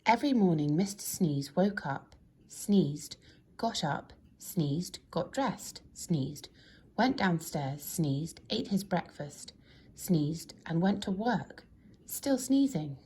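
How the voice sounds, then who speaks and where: Low-voiced woman reading a children's story. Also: lively intonation, takes it slowly, warm tone, husky. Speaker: storybook reader. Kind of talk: scripted children's story narration.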